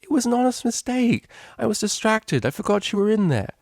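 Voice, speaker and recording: A man's voice: high-pitched, very animated, fairly quick, darkish tone, dry recording, studio quality, voice pushed up, heavily acted. A man voicing a prim, naive young female cellist pony, studious and earnest, with precise, bookish speech. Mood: tentative, apologetic.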